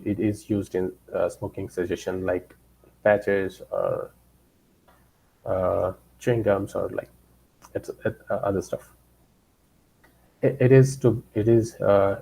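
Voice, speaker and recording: Male researcher, dark creaky voice, halting explanatory lecture delivery with frequent rephrasing. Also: on the low side, a little hiss or hum, rough recording. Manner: halting, repeats and rephrases phrases. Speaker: researcher giving a guest lecture. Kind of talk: scientific presentation, explaining study results.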